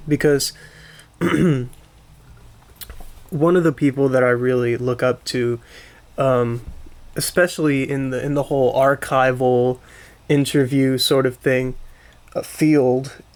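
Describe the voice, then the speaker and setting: A man hosts a relaxed podcast chat at a slow, deliberate pace. His voice sits at a medium pitch, and he often repeats and rephrases as he works toward his point. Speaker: podcast host. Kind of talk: casual podcast conversation.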